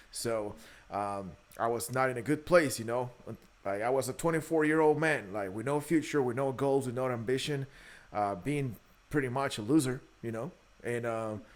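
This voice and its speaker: A man in his early thirties from Guatemala, a native Spanish speaker with a Guatemalan accent, telling his story candidly as an interview guest, often repeating words as he goes. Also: medium pitch. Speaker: podcast guest, entrepreneur. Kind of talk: conversational interview, personal storytelling.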